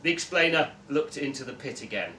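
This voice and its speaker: Casting: young male story reader, live, lively intonation, distant echoey pickup with faint noise. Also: mid-range voice, a little fast. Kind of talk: expressive live short-story reading. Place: small indoor festival room with audience. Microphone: camera a few metres away.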